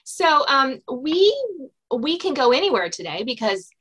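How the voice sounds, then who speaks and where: A woman's voice: sits high, very animated, measured pace, a bit bright, husky. A woman hosting an interview show in a casual, conversational style. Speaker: podcast host and author.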